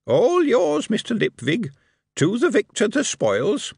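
A man's voice: high voice, huge pitch swings, slow, vocal fry, slightly rough, no room sound, clean studio sound, pitched above the performer's own voice, full character voice. A man voicing a small, sly male goblin who speaks in broken grammar with a sardonic, lofty manner. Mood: cheerful.

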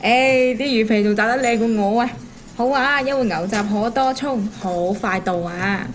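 An actress playing a chatty, teasing middle-aged noodle shop waitress in a radio drama. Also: female voice, on the high side, moderate pace, lightly acted. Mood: cheerful. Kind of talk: Cantonese radio drama acting.

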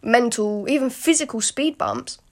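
British woman, late teens, podcast host; gravelly fry, rambling candid delivery with lots of fillers. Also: on the high side, normal speed. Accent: British English. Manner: rambling and candid, with many fillers and frequent asides to the listener. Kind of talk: casual solo podcast monologue.

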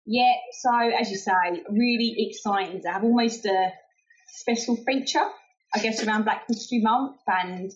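A woman co-hosting a podcast in a friendly, conversational way, with a mid-range voice. She uses loose, casual phrasing and gently leads into the guest's introduction. The audio is a little dull and lo-fi. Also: medium pitch, moderate pace. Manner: friendly, chatty, lots of "like" and "you know". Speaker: podcast co-host.

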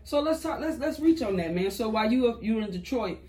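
A man's voice: very high-pitched, expressive, quick pace, a little mellow. A man hosting an interview, easygoing and encouraging, with a lot of fillers. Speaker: interview host. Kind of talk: casual interview talk.

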